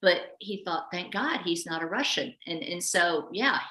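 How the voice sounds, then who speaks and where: A woman hosting a casual interview, speaking haltingly and repeating words as she thinks. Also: lowish voice, animated, sharp tone, echoey room. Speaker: host.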